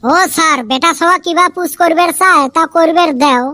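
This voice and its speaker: Squeaky high cartoon schoolboy, cheeky and argumentative, rajbongshi accent, really dry sound. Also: female voice, normal speed, bright, forceful tone, dry recording. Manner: argumentative, mock-innocent wheedling. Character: cheeky, scheming village schoolboy pupils, high-pitched and childlike. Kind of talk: Bengali comedy cartoon dubbing.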